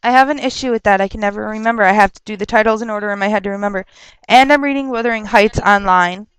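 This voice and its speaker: A young woman rambling casually on a webcam vlog, chatty and quick to laugh and rant, her nose stuffed up from a cold. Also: mid-range voice, clean tone, no echo, poor audio. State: stuffed up with a sore throat. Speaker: vlogger answering viewer questions.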